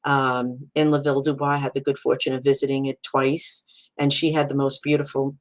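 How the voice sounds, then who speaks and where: A middle-aged woman from Brooklyn speaks in a low voice with a clear Brooklyn accent. She is hosting a casual live stream and reassures her viewers warmly. Her phrases often restart, and she fills them with "you know.". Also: level tone, fairly quick. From Brooklyn, New York. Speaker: psychic medium hosting a live video.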